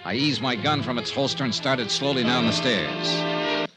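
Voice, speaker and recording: A man's voice: mid-range voice, moderate pace, lightly acted. An actor playing a tough, shrewd male investigator in an old time radio mystery, speaking in a clipped, knowing way. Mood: terse narrating. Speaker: lead actor and narrator. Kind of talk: old time radio detective acting and narration. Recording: vintage radio recording with music.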